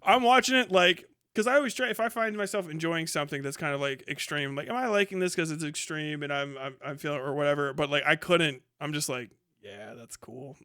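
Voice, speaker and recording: A man livestreaming casual, profane commentary and reacting to chat, animated and sarcastic as he slips into imagined quotes. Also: a little high, a little fast, few pauses, no echo, studio quality. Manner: profane, slangy. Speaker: live streamer.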